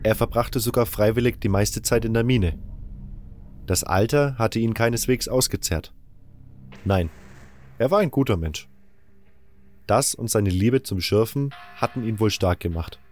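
A man with a low voice narrates a fantasy audiobook in the first person. It is scripted storytelling, with music playing softly underneath. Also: measured pace, long pauses.

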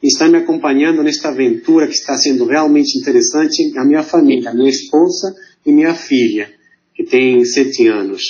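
A man's voice: mid-range voice, normal speed. A middle-aged man from Mexico City with a Mexican Spanish accent, speaking formally as a guest on a podcast, with filler sounds between phrases. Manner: formal, steady, many fillers. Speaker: guest, consular diplomat. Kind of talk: podcast interview. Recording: muffled lo-fi audio.

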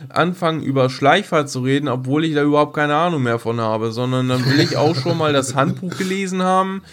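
A man's voice: a little high, a little fast, a little hiss or hum. A man chatting casually as a podcast co-host, in a rambling, colloquial and enthusiastic way.

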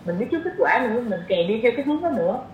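A woman's voice: on the high side, sing-song, very fast, a little mellow. A woman podcast guest casually explaining her opinions, often repeating phrases for emphasis. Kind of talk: casual chat, sharing opinions. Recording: roomy echo, dull lo-fi sound, faint noise.

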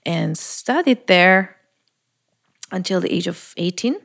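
Low-voiced woman from Austria. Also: animated, slow pace, gravelly, a bit bright. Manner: thoughtful, hesitant, many fillers. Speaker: music producer, guest. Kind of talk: casual interview.

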